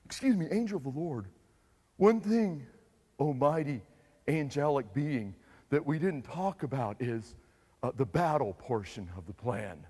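A man's voice: mid-range voice, expressive, a little slow, warm tone, husky, in a large hall. A man voicing an eager, slangy surfer-dude leader of Israelite soldiers, rambling along in a comedic Bible sketch. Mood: instructing.

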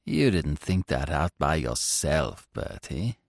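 A man doing a hushed, lovesick young man. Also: big pitch swings, gravelly, no room sound, studio quality, full character voice. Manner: low, hushed, slow and wistful.

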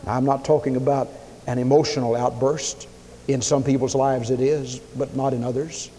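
A man preaching a sermon, emphatic, swinging his pitch and loudness as he acts out the people he quotes. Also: little pitch movement, normal speed, a little hiss or hum. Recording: long hall reverb.

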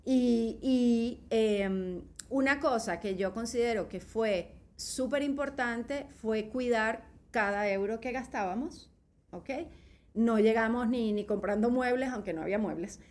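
Higher-voiced middle-aged Venezuelan woman. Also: unhurried. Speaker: podcast guest. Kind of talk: casual interview, personal storytelling.

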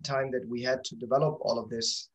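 Man, medium pitch, interview guest, company founder, casual, halting, repeats words while thinking. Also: level tone, low-quality recording.

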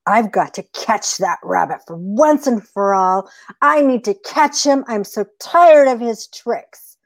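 A woman's voice: fairly high pitch, animated, a little slow, no echo. A woman voicing a gullible, self-important male coyote in a folktale, blustering and emphatic. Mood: exasperated. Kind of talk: oral folktale storytelling.

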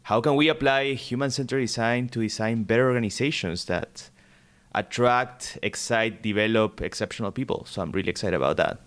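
Earnest man from Peru with a smooth voice. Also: medium pitch, brisk. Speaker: podcast team member. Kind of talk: casual podcast intro.

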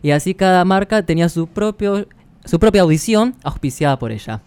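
Clear, higher-pitched Argentine man, close and dry. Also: animated, fairly quick, darkish tone, no echo. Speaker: podcast co-host. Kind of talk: casual conversational explanation.

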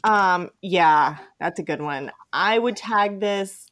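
A woman's voice: on the low side, slow pace, a bit bright. A woman hosting a podcast in a casual, chatty way, playful and enthusiastic. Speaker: podcast host.

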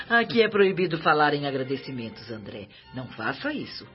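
An actress with a Brazilian accent playing a warm, good-humored, welcoming mother in a radio drama, with an affectionate, jovial manner. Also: low-pitched. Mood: playful. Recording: dull radio recording, faint music.

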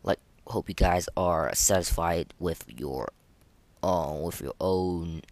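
A man with a fairly low voice hosts a casual gaming podcast. He talks in a halting, informal way, circling back and repeating words before moving on. The sound is close and dry, with little room in it. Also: a little mellow, dry recording. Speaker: podcast host.

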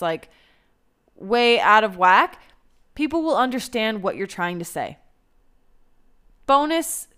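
A woman's voice: a little high, a bit bright, smooth. A young American woman giving casual advice in a blunt, candid way, swearing freely. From United States. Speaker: advice vlogger.

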